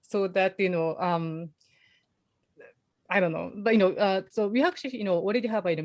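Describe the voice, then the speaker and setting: A woman's voice: lowish voice, a little mellow, dry recording. Japanese-accented woman moderating a webinar. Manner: halting, many "you know" fillers. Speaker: panel moderator.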